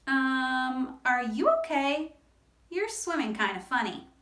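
A woman voicing a kind young remora fish in a children's story, gentle, encouraging and explaining things. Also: high-pitched, lively intonation, slow pace, roomy sound, background noise. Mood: concerned.

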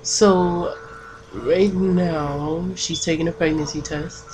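A woman doing casual game commentary, keeping her voice hushed at night with bursts of excitement. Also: low-pitched, slow pace, slight background noise, rough recording. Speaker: let's play gamer. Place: home at night.

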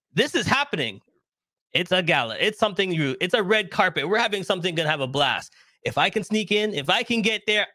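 A man's voice: high voice, sharp tone, no room sound. A middle-aged man hosting a show from a home studio, hyped and enthusiastic, repeating his phrases. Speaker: host. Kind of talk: upbeat show host intro. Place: home studio with purple lighting. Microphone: boom-arm podcast mic.

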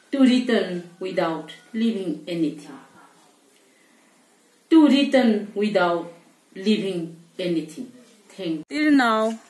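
Slow, measured woman poet. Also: mid-range voice. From Manipur, India. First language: Manipuri. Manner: slow, measured reading from paper. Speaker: invited poet. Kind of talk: poetry recitation and brief remarks. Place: indoor room at home. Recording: echoey room.

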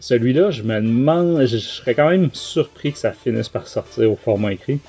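A man's voice: medium pitch, animated, normal speed, dark tone, husky, faint music behind. A man with a Quebec French accent, a podcast host giving a casual review in a hesitant, rambling way. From Quebec, Canada.

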